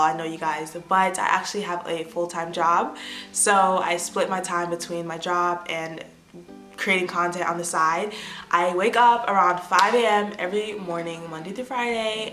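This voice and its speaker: A young American woman in her twenties chatting casually to the camera as an influencer, answering fan questions with animated bursts of excitement. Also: on the low side, reverberant. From United States. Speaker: lifestyle influencer. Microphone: phone or tablet camera.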